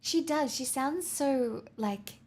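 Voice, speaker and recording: A woman's voice: sits high, expressive, takes it slowly, a little mellow. A woman live streamer chatting casually and candidly with viewers, answering their messages with frequent restarts and the odd swear.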